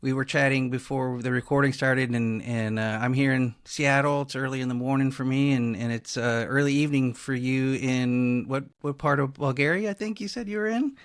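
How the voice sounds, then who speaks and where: A man's voice: medium pitch, normal speed, hardly any pauses, smooth, no room sound, studio quality. A man speaking as a podcast host, introducing and interviewing guests.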